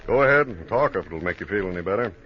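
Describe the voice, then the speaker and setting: A man's voice: low-pitched, expressive, quick pace, husky. An actor playing a hard-boiled, unimpressed male detective in a 1950s radio crime drama, dry and insistent. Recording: dull vintage radio recording.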